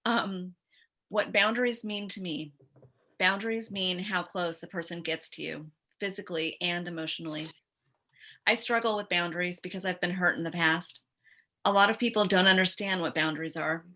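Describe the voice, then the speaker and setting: A woman with a bright, sharp-edged voice pitched on the low side reads poetry aloud. She is a mentor speaking over a video call. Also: moderate pace. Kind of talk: reading a poem aloud.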